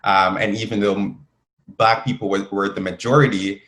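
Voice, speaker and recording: A young man from Jamaica, answering interview questions earnestly with frequent pauses and fillers. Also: on the low side. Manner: earnest, steady, with many um fillers. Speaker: guest. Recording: echoey room.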